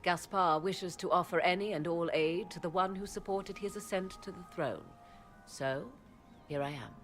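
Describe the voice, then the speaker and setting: A woman's voice: on the low side, moderate pace, a bit bright, faint music behind, lightly acted. A woman voicing an aloof, sardonic apostate sorceress in a fantasy video game, with arch, theatrical, archaic phrasing. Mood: smug.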